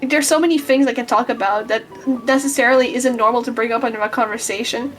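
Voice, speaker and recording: High-voiced teasing woman, rambling over music. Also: steady tone, fast talker, a bit bright. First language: not English. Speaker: guest streamer. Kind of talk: casual livestream chat.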